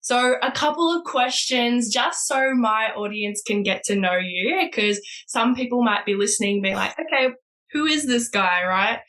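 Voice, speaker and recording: A woman's voice: on the high side, unhurried, a bit bright. Bubbly woman hosting a podcast. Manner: bubbly, enthusiastic, heavy use of "like" and "so". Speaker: podcast host. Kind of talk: upbeat podcast interviewing.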